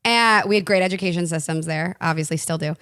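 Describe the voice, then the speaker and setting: Jokey excited woman podcast guest. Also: on the low side, no room sound, clean studio sound. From Connecticut, US East Coast. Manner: excited, jokey, quick asides. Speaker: guest, relationship coach. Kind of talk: casual podcast chat.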